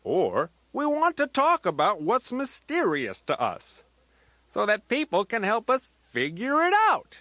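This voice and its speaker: Children's toy tape grandpa bear: elderly, folksy, playful rambler, slow delivery, very animated swooping intonation. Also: male voice, high-pitched, dry recording, heavily acted. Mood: folksy, confiding. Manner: folksy, rambling, sing-song rhyming asides.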